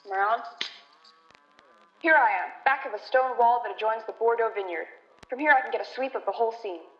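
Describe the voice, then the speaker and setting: A woman playing a rattled radio reporter giving halting, alarmed eyewitness commentary from the field in a radio drama. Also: fairly high pitch, lightly acted. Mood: tense, hushed watchfulness. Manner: breathless, halting, repeating words in alarm. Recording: dull, echoey stage recording.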